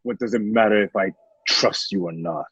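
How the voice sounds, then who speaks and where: A man playing a bitter, grieving, distrustful Roman gladiator in an amateur radio drama, gravelly and husky. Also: fairly low pitch, animated, moderate pace, a bit bright, dry recording, lo-fi sound, heavily acted. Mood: bitter, resigned.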